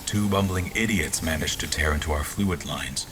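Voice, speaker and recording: Deep-voiced, haughty officer narrating, played by a man. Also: normal speed, background noise. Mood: disdainful. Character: haughty, observant male royal officer recounting events. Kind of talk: scripted first-person narration.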